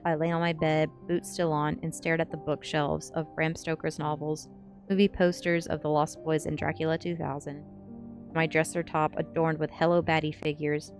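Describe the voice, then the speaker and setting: A sleepy woman streamer doing an ASMR book reading and chatting casually with viewers. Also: low-pitched. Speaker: streamer reading books aloud. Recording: music playing behind the voice. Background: music.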